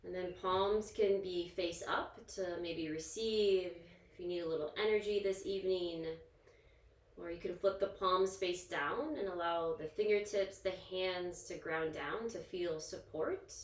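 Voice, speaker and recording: A woman yoga instructor leading a guided relaxation in a soothing, gentle, informal manner, leaving pauses between instructions. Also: fairly low pitch, steady tone. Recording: echoey, roomy sound.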